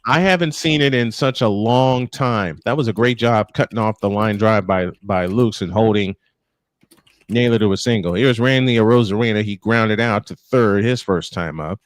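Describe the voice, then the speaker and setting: A man's voice: fairly low pitch, moderate pace, no room sound. A man calling a baseball game live as play-by-play host, slipping between commentary and casual chat.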